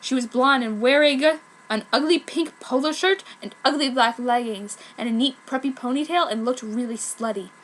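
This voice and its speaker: High, bright-voiced woman reading a story. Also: normal speed, a little hiss or hum, rough recording. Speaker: fan fiction reader.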